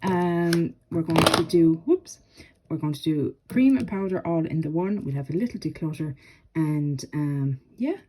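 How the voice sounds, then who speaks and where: Woman vlogger, lowish voice, stuffy from a cold, relaxed chatty delivery over a noisy home recording. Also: unhurried. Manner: relaxed and chatty, with frequent ums. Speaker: beauty vlogger. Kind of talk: casual chatty product review.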